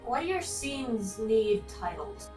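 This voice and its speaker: A woman's voice: a little high, sing-song, slow pace, a little mellow. A blunt, sweary woman giving casual reaction commentary. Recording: roomy echo, music behind. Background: music.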